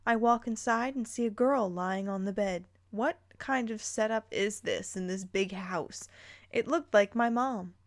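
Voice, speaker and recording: A woman's voice: mid-range voice, measured pace. A woman hosting a dramatic read-aloud of fan fiction, breaking in with playful, incredulous commentary. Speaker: host reading and reacting to fan fiction.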